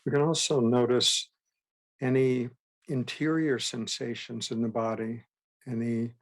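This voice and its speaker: A man guiding a meditation as a teacher, with gentle, unhurried instruction and frequent pauses. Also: mid-range voice, creaky. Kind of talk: guided meditation.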